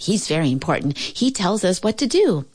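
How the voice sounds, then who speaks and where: A woman's voice: medium pitch, sing-song, normal speed, darkish tone, slightly rough, no echo. A woman voicing a cheerful, scruffy little male dog in a storybook, chirpy, eager and friendly.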